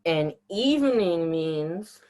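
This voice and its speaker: A young man acting as guest helper, reading out words and prompts in a measured way during a lesson. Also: fairly high pitch, expressive, darkish tone.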